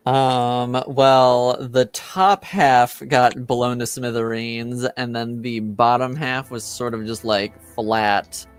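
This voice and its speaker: A man's voice: mid-range voice, fairly even intonation, slow pace, few pauses, clean tone, no room sound, soft background music. A man acting as game master, explaining things hesitantly with many fillers. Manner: hesitant, lots of ums and likes. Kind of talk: narrating a tabletop game.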